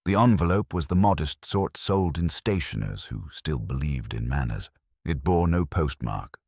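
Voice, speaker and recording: A man narrating a period mystery story in a measured, dryly humorous manner. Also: rumbling low, big pitch swings, vocal fry, a little mellow, husky, no room sound, studio quality.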